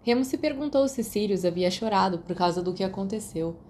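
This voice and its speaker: Female audiobook narrator, Brazilian accent, warm dark timbre, scripted fiction, light background noise. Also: medium pitch, lively intonation, a little fast.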